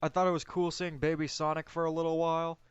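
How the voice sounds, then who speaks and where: Smooth higher-voiced man chatting, dull dry recording. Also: flat delivery, fast, no room sound, faint music behind. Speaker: film discussion co-host. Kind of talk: casual film discussion.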